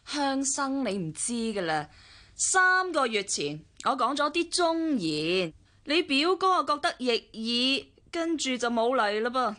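A gossipy Hong Kong Cantonese older sister in a radio drama, sighing and complaining. Her high, bright voice moves up and down lively. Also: a little slow, exaggerated voice. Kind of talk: 1990s radio drama acting.

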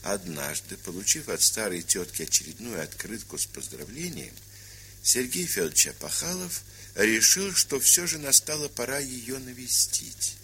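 A male narrator with a lowish but very bright, forceful voice. He delivers scripted text formally and declaims it like literature. The old recording carries a faint hiss. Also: big pitch swings, normal speed. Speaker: narrator, presenter. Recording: hissy, crackly vintage 1970s record.

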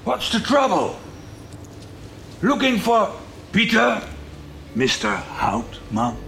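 Radio soap: easygoing foreign fisherman-writer, bright gravelly voice, unhurried. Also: high-pitched, big pitch swings, a little hiss or hum, exaggerated voice. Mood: calm, teasing. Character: laid-back foreign fisherman claiming to be a writer.

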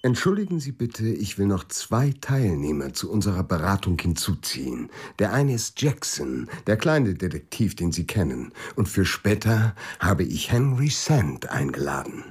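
Urbane, husky-voiced lord. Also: male voice, deep voice, very animated, normal speed, hardly any pauses, heavily acted. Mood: composed, businesslike. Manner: urbane, assured, formally polite. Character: composed, shrewd English lord, man of the world. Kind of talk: German radio drama acting.

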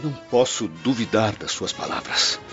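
A man's voice: fairly low pitch, lively intonation, normal speed, close to an everyday voice. A man acting an earnest, troubled Roman nobleman in a radio drama with formal, declamatory speech. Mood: shocked disbelief. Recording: muffled lo-fi recording under loud music.

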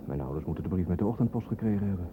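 A muffled, noisy old radio play recording of a cynical man on the run. His low voice is sardonic and wordy, with a resentful edge. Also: expressive, rapid-fire. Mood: reassuring. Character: cynical army deserter on the run, resentful son.

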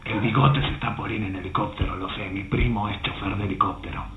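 A man's voice: on the low side, steady tone, quick pace, soft background music, natural voice. A man acting a paranoid, earnest conspiracy believer at a writing workshop in an amateur radio play. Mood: paranoid, urgent. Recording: tinny, roomy recording with faint hum.

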